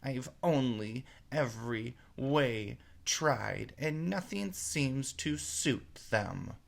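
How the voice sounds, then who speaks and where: A man's voice: mid-range voice, animated, drawn-out words, vocal fry, pitched above the performer's own voice, exaggerated voice. A man voicing an exasperated, contemptuous mother pigeon in a storybook read-aloud, complaining dramatically. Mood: sobbing, exasperated. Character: indignant, fretful mother pigeon, shrill and contemptuous.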